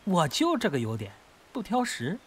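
A man's voice: high-pitched, wildly swooping pitch, moderate pace, a little hiss or hum. A man playing a crude, menacing, mocking gangster kidnapper in an audio drama, taunting and foul-mouthed. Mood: mocking menace.

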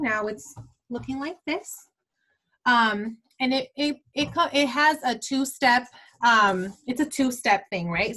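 This is a woman in her twenties walking her sales team through skincare products. Her voice sits a little high, and her talk is casual and rambling, full of "like" and "um". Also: fairly bright tone. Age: 20s. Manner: chatty, many fillers like "like" and "um". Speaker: team leader of a beauty sales team.

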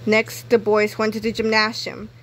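A woman's voice: mid-range voice, monotone, moderate pace. A woman reading a children's book aloud expressively, acting out dialogue and sound effects. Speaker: book reader. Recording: clearly noisy home recording.

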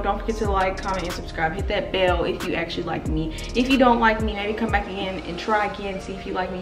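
A woman's voice: mid-range voice, roomy sound, recorded from a distance. A young woman in her twenties answering fan questions in a casual vlog, chatty and blunt, playful, with slang and swearing. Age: 20s. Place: indoor room near stairs. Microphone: camera mic, filmed from below.

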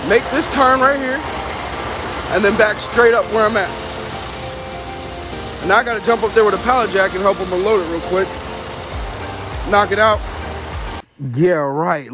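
High-voiced trucker filming himself. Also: male voice. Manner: exasperated, chatty, says bro a lot. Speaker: truck driver. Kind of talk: casual vlog to camera. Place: outdoors at farms and loading docks, and in a truck cab. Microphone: phone held at arm's length.

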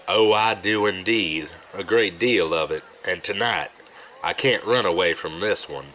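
An actor playing a sly, regretful gambler and old friend, wry and confiding, in an audio drama. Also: male voice, sits low, measured pace, sharp tone, a little hiss or hum, poor audio. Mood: rueful. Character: sly, regretful gambler, smooth-talking old buddy, man.

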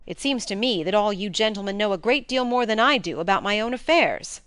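A woman voicing a brisk, plain-spoken gentleman in an audiobook, emphatic, blunt and colloquial in manner. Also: mid-range voice, expressive, smooth, dry recording, clean studio sound, natural voice. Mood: blunt, impatient. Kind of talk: acted audiobook dialogue.

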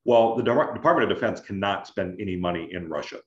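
A man explaining things as an adviser in an online information session, in a measured, conversational way with check-in questions. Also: low-pitched. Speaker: scholarship adviser, presenter. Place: indoors on a video call. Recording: roomy, dull video-call audio.

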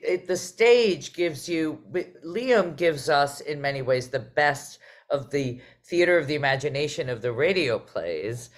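A middle-aged woman hosting a conversational panel over a video call, musing and emphatic as she circles through her phrasing. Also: low voice. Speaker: host and theater artistic director. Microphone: video call microphone.

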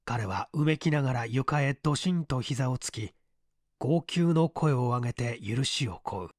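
A man reading a literary story as dramatic narration in a measured, deliberate way. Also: mid-range voice, animated, no echo, studio quality. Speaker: story reader.

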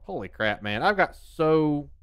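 A man's voice: fairly high pitch, lively intonation, unhurried, a bit bright, clean tone, no room sound. A man, chatting and joking on a headset mic while streaming a game from his home desk. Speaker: gaming streamer. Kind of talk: casual live commentary while playing.

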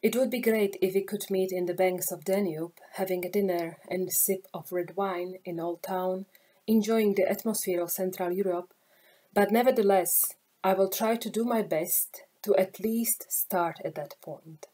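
Middle-aged slovak-accented woman reading a formal keynote, calm and measured, soft mellow voice. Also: mid-range voice. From eastern Slovakia. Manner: formal and measured, reads from a script. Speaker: conference keynote speaker, professor. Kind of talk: prepared conference address.

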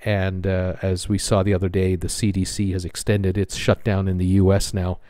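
A man with a North American accent, hosting an informal news commentary video in a halting, hesitant way with frequent pauses. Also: low-pitched, completely flat delivery, mellow, dry recording, studio quality. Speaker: host of a cruise news channel.

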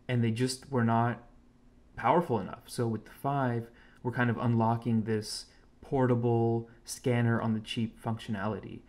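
An American man talks through a hobby electronics demo in a medium-pitched voice. His delivery is laid-back and informal, like someone explaining a project at his desk. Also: a little mellow. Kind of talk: casual tech demonstration. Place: indoors at a home computer desk.

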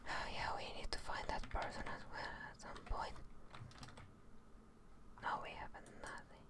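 Young woman whispering gameplay commentary. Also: measured pace. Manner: casual, sweary, muttering to herself. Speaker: video game streamer.

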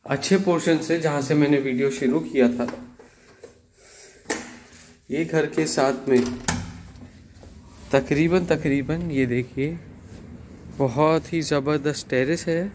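A man in his thirties giving a casual walkthrough of an apartment, talking slowly and hesitantly with many fillers, filmed on a handheld phone. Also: a little high, a little mellow, slight background noise. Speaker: property tour guide. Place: terrace and empty apartment rooms outdoors and indoors. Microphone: phone camera held at arm's length.

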